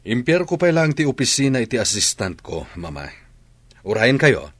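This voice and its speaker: A man's voice: medium pitch, very animated, normal speed, breathy edge, no room sound. A man playing a troubled husband and grown son in an audio drama. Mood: polite, urgent. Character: troubled husband, an adult son confiding in his mother. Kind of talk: dramatic radio-drama dialogue.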